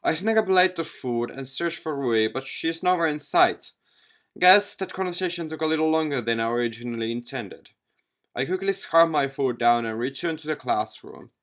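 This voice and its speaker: A man giving an expressive read-aloud narration of a visual novel game as a let's-player. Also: on the high side, moderate pace.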